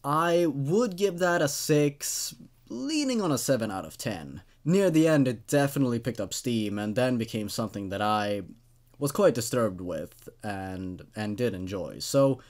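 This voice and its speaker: A man's voice: mid-range voice, sing-song, unhurried, a little mellow. A man in his twenties hosting as a reader and reviewer of horror stories, moving between dramatic reading and casual commentary. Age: 20s.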